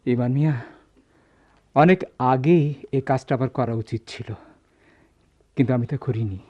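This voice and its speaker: A man's voice: medium pitch, normal speed, a little mellow, lightly acted. Man acting proud gold merchant, dull lo-fi sound. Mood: remorseful, pleading. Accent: Bangladeshi. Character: proud gold merchant boss, accusing, then remorseful. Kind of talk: Bengali radio play acting. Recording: dull lo-fi radio drama recording.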